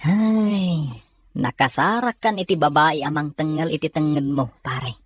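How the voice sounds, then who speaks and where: A man playing a blunt, scolding young buddy in an audio drama, reproachful and questioning, with a slightly rough voice. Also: a little high, measured pace, no room sound. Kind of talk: Ilocano audio drama acting.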